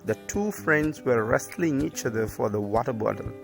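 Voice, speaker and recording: A man's voice: mid-range voice, animated, moderate pace, vocal fry. A man narrating a scripted moral story for children. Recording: music behind the voice. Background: music.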